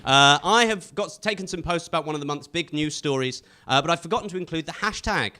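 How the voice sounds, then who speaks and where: A man's voice: fairly high pitch, fast talker, pushed and bright. A man hosting a comedy panel show, reading scripted jokes to a live audience with punchy timing.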